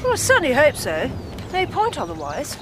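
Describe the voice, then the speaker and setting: A woman's voice: high voice, huge pitch swings, brisk, echoey room, heavy background noise. An actress playing a mocking, snobbish, man-hungry young stepsister in a film drama, sardonic in manner. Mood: wry, aroused.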